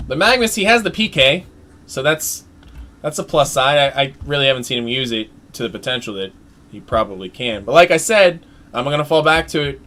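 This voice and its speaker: A man giving live play-by-play commentary on an online team game, excitable and chatty, his voice jumping up during fights. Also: mid-range voice, fairly quick. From United States. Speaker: esports play-by-play caster.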